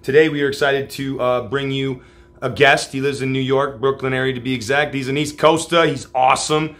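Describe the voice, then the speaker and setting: Male host, 40s, loud and hyped, bright forceful tone, casual swearing. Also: medium pitch, fairly even intonation, brisk. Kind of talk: energetic show intro. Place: home room, solo on camera.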